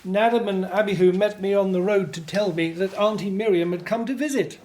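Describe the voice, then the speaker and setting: A man's voice: high voice, fairly quick, darkish tone, close to an everyday voice. A man playing a hopeful Hebrew slave and family man in a scripted radio drama, telling things earnestly. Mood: eager, serious.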